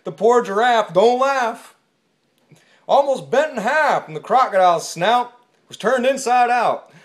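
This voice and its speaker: An indignant storybook bear, as a woman puts him on, grumbling his complaints in rhyme with a lively, rising and falling voice. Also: on the high side, measured pace. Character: grumpy male bear fretting about his fur.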